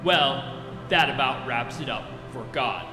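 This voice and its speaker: A man playing a droll, erudite guidebook narrator in a live comedy radio play, explaining things in a measured, wry way. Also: mid-range voice, lightly acted. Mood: wry, explanatory. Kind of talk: scripted comic narration. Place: live stage performance in a hall. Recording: echoey hall, loud music behind.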